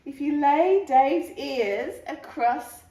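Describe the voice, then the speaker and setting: A woman voicing a mild, gently rueful father who is fond of the family dog in a children's storybook. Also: high voice, takes it slowly, distant mic, voice pushed up. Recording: roomy, echoing room sound.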